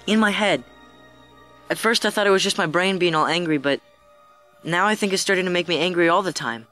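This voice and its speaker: A woman's voice: lowish voice, brisk, a bit bright, soft background music, lightly acted. A woman playing a troubled, defensive schoolboy with a sullen manner in an audio drama.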